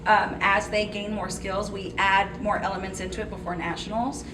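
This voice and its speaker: A woman's voice: medium pitch, level tone, normal speed. Earnest South Texas woman, dance coach, heard from afar in a noisy echoey space. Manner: eager, explanatory, earnest. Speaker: dance team choreographer and trainer. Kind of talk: casual interview. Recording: echoey, distant, noisy room.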